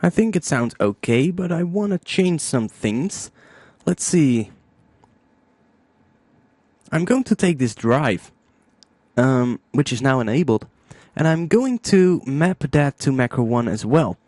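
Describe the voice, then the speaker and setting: A man narrating a music software tutorial step by step, informally thinking aloud into a close microphone. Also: fairly high pitch, no room sound.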